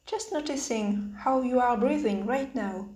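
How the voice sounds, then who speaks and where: A woman leading a guided meditation with spoken instructions in halting phrases, pausing often between them. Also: on the high side, warm tone. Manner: hesitant, halting phrases with long pauses. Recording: roomy, echoing sound.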